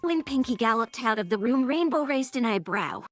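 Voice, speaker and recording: Synthetic woman storyteller, slightly high voice. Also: lively intonation, moderate pace, fairly bright tone, slight background noise. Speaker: narrator. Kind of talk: scripted story narration.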